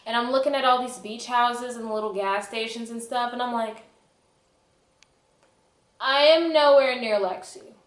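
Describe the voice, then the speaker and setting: A young woman telling a personal story in a casual vlog style, with a dramatic, emphatic, self-mocking delivery. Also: fairly high pitch. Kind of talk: animated casual storytelling to camera. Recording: echoey, roomy sound.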